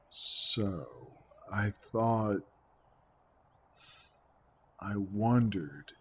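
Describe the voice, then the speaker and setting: A man playing an earnest, tender young groom who speaks haltingly and sincerely in an audio drama. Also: fairly low pitch, a little mellow, a little hiss or hum.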